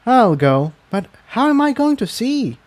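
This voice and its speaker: A man acts out a young female pegasus from a fantasy storybook. The voice is pitched way up into a thin falsetto. She sounds fretful and pleading, a timid little thing. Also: sing-song, unhurried, heavily acted. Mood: small, unsure. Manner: nervous, pleading. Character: timid, anxious young female pegasus pony, quick to tears.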